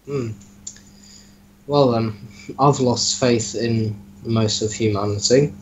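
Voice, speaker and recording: A man talking casually as an interviewer, with a deadpan, mock-serious teasing manner. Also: mid-range voice, slow pace, slight background noise, rough recording. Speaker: host interviewing a story's author. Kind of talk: casual joking chat with reading aloud.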